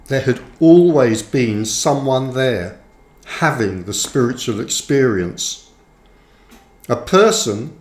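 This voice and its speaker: A man narrates a book in a slow, measured way, placing each phrase deliberately. His voice sits at an average male pitch. The room around him adds a clear echo to the reading. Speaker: author reading own book aloud. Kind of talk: audiobook-style scripted narration. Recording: roomy, echoing sound.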